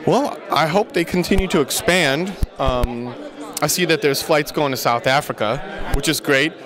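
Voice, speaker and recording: Male guest, 40s, easygoing joker, mid-high voice, noisy event room. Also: moderate pace. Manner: jokey, scats drum sounds. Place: crowded indoor event hall. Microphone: handheld mic held by interviewer. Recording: crowd chatter behind.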